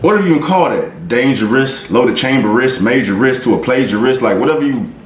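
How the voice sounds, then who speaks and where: A man hosting a reaction video, talking casually and excitedly, swearing often and restarting his sentences. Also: mid-range voice, brisk, reverberant, a little hiss or hum.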